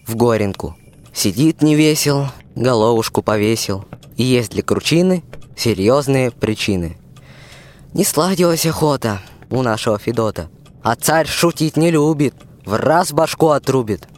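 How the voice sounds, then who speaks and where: A man's voice: medium pitch, lively intonation, normal speed, darkish tone. A young man narrating a rhymed folk tale as the storyteller of a radio play.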